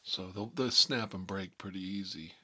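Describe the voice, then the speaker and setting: Man playing a rambling low-voiced mob boss. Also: steady tone, moderate pace, bright, forceful tone. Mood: nostalgic rambling. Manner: rambling, repetitive, colloquial, easily sidetracked. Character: rambling, hot-headed, nostalgic male mob boss. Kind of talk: improvised comic character monologue.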